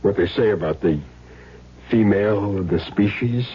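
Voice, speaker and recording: An actor playing a cornered, soft-hearted hitman in a 1970s radio drama, intense and defensive. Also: fairly low pitch, moderate pace, close to an everyday voice. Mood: resigned. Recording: dull, noisy old radio recording.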